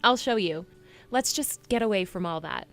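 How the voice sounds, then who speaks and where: A woman's voice: medium pitch, lively intonation, moderate pace, soft background music, close to an everyday voice. A woman playing a plucky, awkward young princess in an audio drama, talking in a casual, blunt way. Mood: brisk, reassuring.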